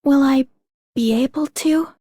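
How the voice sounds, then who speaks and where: A woman voicing an earnest, weary young female leader in a video game, soft, breathy and solemnly measured. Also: sits high, a little hiss or hum. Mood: solemn, uncertain. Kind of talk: game character voice acting.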